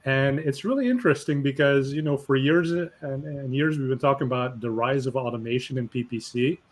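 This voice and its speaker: A man hosting a marketing webinar, talking in an energetic, conversational way with fillers. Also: medium pitch, fairly quick. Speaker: host.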